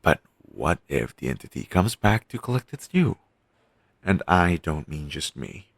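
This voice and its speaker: A man playing a weary, caring undead best friend in an intimate audio roleplay, talking casually and earnestly with halting phrases. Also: very deep voice, sing-song, vocal fry, husky, dry recording, heavily acted. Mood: worried, gentle. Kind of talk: audio roleplay, intimate one-sided dialogue.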